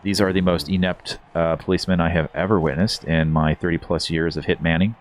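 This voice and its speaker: A man giving deadpan, mock-dignified commentary on his own video game stream in a hushed golf broadcast style. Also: sits low, a little fast.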